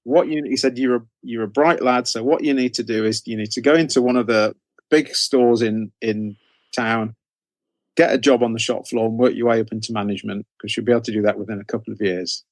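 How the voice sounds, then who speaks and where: A man's voice: medium pitch, fairly even intonation, brisk, dry recording. A British man in his sixties telling anecdotes informally as an interview guest, often circling back on his phrases. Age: 60s. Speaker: interview guest, horror author. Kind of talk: conversational storytelling in an interview.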